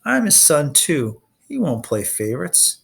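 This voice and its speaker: A man's voice: on the high side, big pitch swings, a little slow, vocal fry, husky, full character voice. A man playing a brave boy hero in a storybook, speaking earnestly and urgently. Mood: defiant. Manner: earnest, urgent pleading and yelling.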